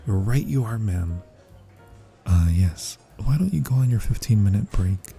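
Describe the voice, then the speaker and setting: A man's voice: fairly low pitch, animated, a little slow, creaky, warm tone, soft background music. A man playing a flustered restaurant manager in an audio roleplay, stammering and politely deferential. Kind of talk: scripted audio roleplay acting.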